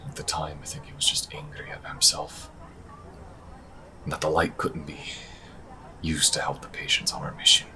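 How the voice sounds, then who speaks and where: Man acting an earnest, low-voiced young priest. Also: completely flat delivery, normal speed, pauses often, pushed and bright, in a whisper, full character voice, rough recording. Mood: worried. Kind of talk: audio drama acting.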